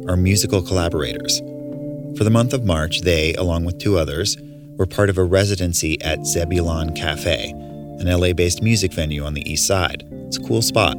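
A man hosting a podcast, reading scripted narration in a friendly, measured way. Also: sits low. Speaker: podcast host.

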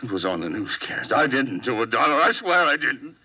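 A man's voice: medium pitch, sing-song, a little fast, husky. An actor playing a volatile, hot-tempered man wanted for murder, swinging between threats and pleading, in a 1950s radio drama. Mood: desperate. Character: hot-tempered desperate male murder suspect. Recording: dull lo-fi old radio broadcast.

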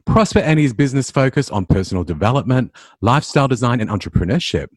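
Male interview host. Animated, swooping pitch, close and dry sound. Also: fast, slightly rough, no room sound. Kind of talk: scripted guest introduction and interview questions.

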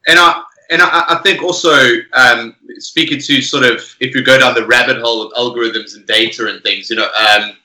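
A man with a strikingly bright, forceful voice, chatting energetically about music. He keeps checking in with his listener as he talks. The sound comes from an echoey room. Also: mid-range voice, a little fast, hardly any pauses. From South Africa. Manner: energetic, often tags "right?". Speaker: podcast guest musician. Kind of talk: casual chat.

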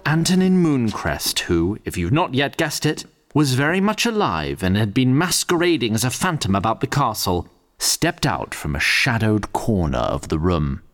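A man's voice: medium pitch, big pitch swings, normal speed, fairly bright tone. A man narrating a scripted fantasy story in a wry, literary manner.

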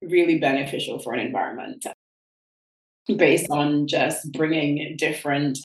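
A woman with a slightly low voice gives her views firmly and with emphasis, and sometimes sounds amused. The recording is roomy and echoey. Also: measured pace. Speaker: guest. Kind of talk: opinionated conversation. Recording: roomy echo.

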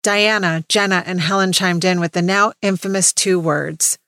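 Low-pitched woman narrating, close dry sound. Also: steady tone, normal speed, pushed and bright, no room sound. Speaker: podcast host introducing stories. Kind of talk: scripted podcast narration.

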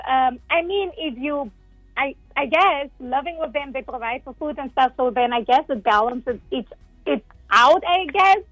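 Woman, 30s, open guest; high voice, dry phone line with faint music. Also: expressive, normal speed, dry recording. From South Africa. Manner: open, laughs often, repeats words. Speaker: guest, nonprofit founder. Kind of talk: casual interview.

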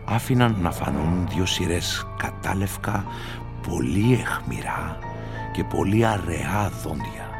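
A man narrating a literary story dramatically, with slow and deliberate delivery. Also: low-pitched, expressive, vocal fry, over music.

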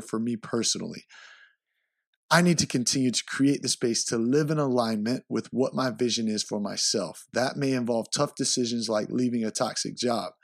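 Man, podcast host, upbeat shout-outs, mid pitch, dry sound. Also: gravelly, dry recording. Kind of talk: solo podcast intro.

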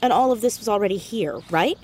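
Teen girl sleuth, played by a woman, highish and very lively, earnest and nosy, audio drama with background ambience. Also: fast, a little hiss or hum, natural voice. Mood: inquisitive. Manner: inquisitive, earnest. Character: curious, upbeat fourteen-year-old girl amateur sleuth. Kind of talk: naturalistic audio drama dialogue.

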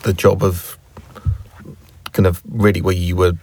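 A man's voice: low voice, little pitch movement, measured pace, a little mellow, slight background noise. A man co-hosting a business podcast, talking loosely and rambling a bit in a casual chat. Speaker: podcast co-host.

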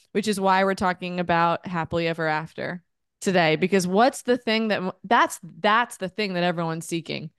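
Earnest woman podcast host, clear voice. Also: medium pitch, dry recording, studio quality. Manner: earnest, animated, talks in long runs. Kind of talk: casual podcast chat.

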